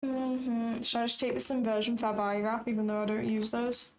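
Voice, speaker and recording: A young woman with a raspy voice, chatting casually and thinking aloud as she hosts a live trading stream. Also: fairly high pitch, flat delivery, a little fast, a little mellow, a little hiss or hum. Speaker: trading livestream host.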